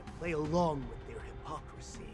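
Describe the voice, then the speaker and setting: Man acting high-pitched, scheming wolf-clan counselor. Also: a little fast, lightly acted. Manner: formal, flattering, theatrical. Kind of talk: video game story voice acting. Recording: music behind.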